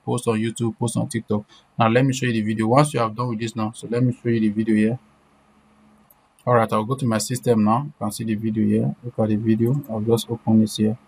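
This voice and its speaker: A woman presenting an informal screen-share software tutorial, repeating herself and often checking that listeners are following. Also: very deep voice, a little hiss or hum, rough recording.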